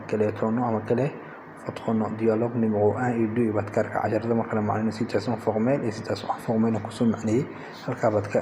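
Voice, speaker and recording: A man's voice: lowish voice, noisy background. A man with a Somali accent teaching a bilingual phrase lesson, repeating and translating each phrase in an instructional way. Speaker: language teacher, channel host.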